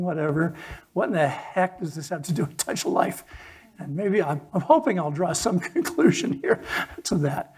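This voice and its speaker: Man, 60s, conversational keynote speaker, slightly high-pitched husky voice, leans on key words. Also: a little mellow. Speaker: keynote speaker at a charity event. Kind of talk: public keynote presentation. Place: stage in an event hall with a PA, indoors.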